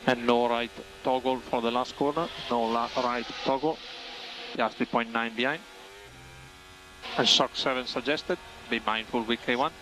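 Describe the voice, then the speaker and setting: A man's voice: medium pitch, fairly even intonation, normal speed, noisy background. A man working as a race engineer, giving clipped, factual updates over team radio and repeating key phrases for clarity. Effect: team radio transmission.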